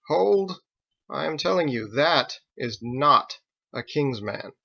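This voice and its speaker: A man's voice: mid-range voice, animated, slow, gravelly, sharp tone, husky, lo-fi sound, full character voice. A man voicing a pompous, smugly confident lawman in armor for an audiobook, with formal, haughty diction. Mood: indignant, proud.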